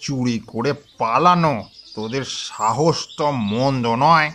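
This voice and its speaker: A man's voice: medium pitch, measured pace, bright, forceful tone. A man voicing a grumpy, threatening old village grandpa in a cartoon, scolding as he talks. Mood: angry, threatening. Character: grumpy old village grandpa guarding guava tree, later ghost. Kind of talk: Bengali moral-story cartoon acting.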